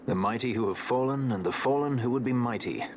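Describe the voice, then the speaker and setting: An actor playing a suave, sardonic adventurer in a video game, with wry, eloquent, theatrical phrasing. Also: male voice, mid-range voice, fairly quick, slight background noise, natural voice. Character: suave, sardonic male adventurer, worldly and self-assured. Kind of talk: video game cutscene acting.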